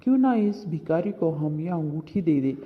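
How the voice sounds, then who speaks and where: A man's voice: on the high side, lively intonation, normal speed, lightly acted. Actor as a kindly village father in long reverb. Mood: kindly, earnest. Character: kind, hardworking poor village husband and father. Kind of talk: moral cartoon story dialogue. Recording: dull, lo-fi sound with long reverb.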